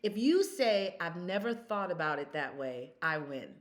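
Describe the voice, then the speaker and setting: A woman's voice: fairly low pitch, very animated, normal speed. A woman in her fifties speaking as a podcast guest, emphatic and storytelling, full of rhetorical questions. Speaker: guest, consultant. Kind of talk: podcast conversation.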